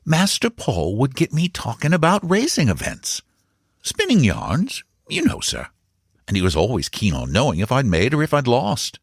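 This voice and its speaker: A man's voice: medium pitch, wildly swooping pitch, normal speed, creaky, husky, no echo, clean studio sound, pitched above the performer's own voice, exaggerated voice. A man voicing a respectful, confiding male servant in a storybook, reverent about his master. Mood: respectful, chatty. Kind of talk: storybook character dialogue.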